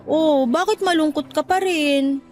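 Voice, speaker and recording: A woman acting as an affectionate, encouraging young girlfriend in a radio drama, speaking in a coaxing, animated way. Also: high-pitched, measured pace, soft background music. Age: young adult. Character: supportive, upbeat young girlfriend cheering on her partner. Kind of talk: Tagalog radio drama dialogue.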